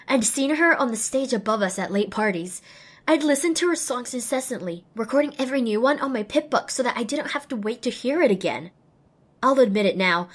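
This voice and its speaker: A woman's voice: a little high, slightly rough. A young woman voicing an earnest, self-deprecating young female pony apprentice, narrating an audio drama expressively and wryly. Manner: expressive, wry. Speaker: lead and first-person narrator.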